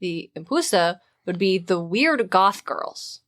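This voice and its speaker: A woman's voice: fairly low pitch, animated, slow, a bit bright. A woman co-hosting a podcast in casual, slangy, emphatic conversation. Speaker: podcast co-host.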